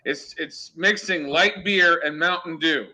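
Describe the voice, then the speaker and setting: A man's voice: a little high, a little slow, heavy background noise. Joking, profane man in his forties. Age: 40s. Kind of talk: casual group chat.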